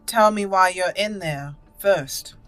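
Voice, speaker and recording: Woman playing a bright-voiced formal elf mage. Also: fairly low pitch, faint music behind. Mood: assertive. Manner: formal, deliberate. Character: proud young female elf mage noble, blunt, formal. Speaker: host of a gaming channel. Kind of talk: improvised in-character game roleplay narration.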